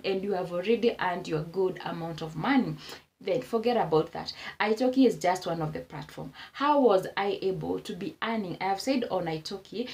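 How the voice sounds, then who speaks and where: A woman with a Kenyan accent speaks to camera in a relaxed vlog style. She often says a point twice to drive it home. Her voice sits mid-range, and she breaks into lively exclamations. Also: medium pitch. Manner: repeats phrases for emphasis, animated exclamations. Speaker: vlogger. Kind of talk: casual advice talk to camera.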